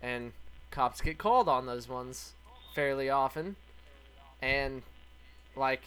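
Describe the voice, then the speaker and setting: A man's voice: mid-range voice, takes it slowly, smooth, poor audio. Young man with a southern Kansas twang. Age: young adult. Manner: blunt, profane, animated storytelling. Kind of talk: casual chat.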